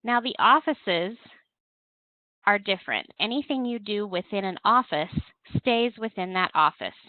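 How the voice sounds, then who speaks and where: A woman's voice: medium pitch, animated, unhurried, no room sound. A woman giving an instructional webinar walkthrough, explaining things step by step as a trainer. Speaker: presenter, compliance software trainer. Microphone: telephone conference call. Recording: thin, narrow-band phone line.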